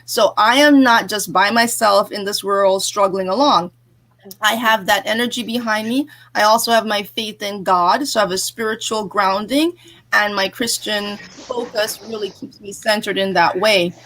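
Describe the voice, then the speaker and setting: A woman's voice: mid-range voice, moderate pace, fairly bright tone, low-quality recording. A woman business coach who was born in England and grew up in Jamaica and New Jersey, giving earnest advice in a live interview. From born in England, raised in Jamaica and New Jersey. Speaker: guest, business coach and author.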